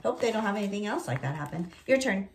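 Female children's story host, 40s, expressive swooping delivery, chatty, slightly echoey room. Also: medium pitch, fast talker, a little hiss or hum. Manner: expressive, with gasps and leading questions. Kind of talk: picture-book read-aloud with chat for young children. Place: indoor room at home with bookshelves. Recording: roomy home recording.

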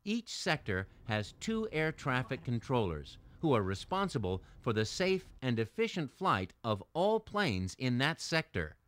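An American man reading scripted narration for an educational video, explaining things clearly in measured phrases. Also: medium pitch, very animated, a bit bright, slight background noise.

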